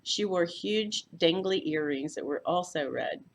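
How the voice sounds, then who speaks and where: A woman's voice: on the low side, a little slow, slight background noise. A woman reading a first-person mystery story aloud as a volunteer narrator. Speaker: volunteer audiobook reader.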